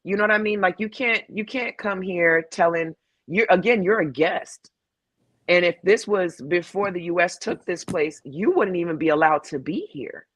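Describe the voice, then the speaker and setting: A woman's voice: fairly low pitch, normal speed, dry recording. A woman chatting casually as a panel guest over a video call, blunt and emphatic, often profane. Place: home in Hawaii.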